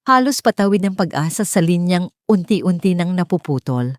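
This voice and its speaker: A woman's voice: on the low side, lively intonation, moderate pace, no echo, clean studio sound. A woman narrating a scripted dramatic story as its storyteller.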